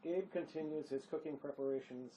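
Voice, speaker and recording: A man's voice: on the high side, brisk, warm tone, slight background noise, rough recording. A man narrating a drama script, reading scripted stage directions in the present tense.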